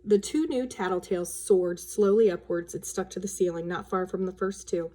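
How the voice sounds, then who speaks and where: A woman reading a children's storybook aloud as a storyteller, expressive and acting out the characters' lines. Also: on the low side, normal speed. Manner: acts out dialogue with changes of voice.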